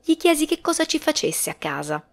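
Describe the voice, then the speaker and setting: A woman's voice: high-pitched, very animated, fairly quick. A woman reading a novel aloud as scripted audiobook narration. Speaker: audiobook reader.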